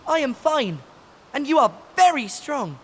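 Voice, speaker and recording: A man's voice: very high voice, very animated, measured pace, exaggerated voice. A man playing an earnest, polite, self-assured little boy in a video game, with simple, stiffly formal phrasing and long reverb around the voice. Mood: composed.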